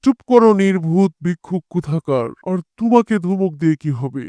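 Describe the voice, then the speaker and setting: Man acting a stern, high-pitched king. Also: animated, fairly quick, mellow, no room sound. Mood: stern, repentant. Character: stern but devout, repentant king. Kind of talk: animated moral story dialogue.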